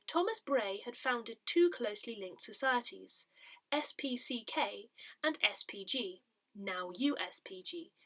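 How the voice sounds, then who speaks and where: A woman reading a parish newsletter aloud in a soft, breathy, measured way. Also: a little high, poor audio. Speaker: newsletter reader.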